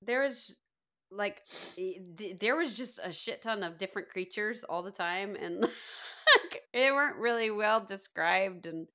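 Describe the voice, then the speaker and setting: This is a woman chatting casually as a podcast co-host. Her voice is bright and sharp at a middling pitch, and her way of talking is informal, salty and wry. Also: measured pace. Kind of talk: casual chat.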